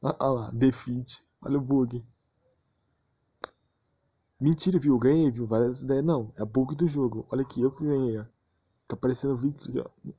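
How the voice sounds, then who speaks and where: A man's voice: medium pitch, moderate pace, long pauses, mellow, husky. A Brazilian man giving casual, slangy commentary on gameplay. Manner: slangy, informal. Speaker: gaming channel host. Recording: muffled lo-fi voice recording.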